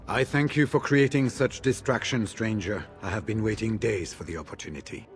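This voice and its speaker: Courteous veteran warden, tired and measured, male actor, very low, soft music behind, game cutscene. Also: lightly acted. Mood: grave, relieved. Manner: measured, formal. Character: weary, courteous senior warden freed from captivity. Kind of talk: video game cutscene acting.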